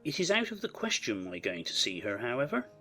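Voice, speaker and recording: A man playing a proud, wounded gentleman who speaks formally and emphatically in a dramatized audiobook. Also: mid-range voice, wildly swooping pitch, a little fast, faint music behind, exaggerated voice. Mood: hurt, unyielding.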